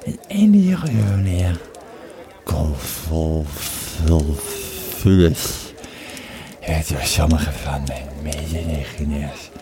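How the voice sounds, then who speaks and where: Man playing a very deep, slow old Roman legionary. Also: animated, a crowd in the background, heavily acted. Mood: wry. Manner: slow, halting, dramatic storyteller. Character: old Roman legionary veteran recounting a grim memory. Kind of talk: in-character storytelling in an audio play.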